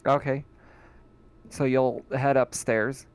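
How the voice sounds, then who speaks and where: A man's voice: medium pitch, level tone, slow, clear, resonant tone. A man running a tabletop game over a voice call, often laughing and asking his players what they do. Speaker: game master.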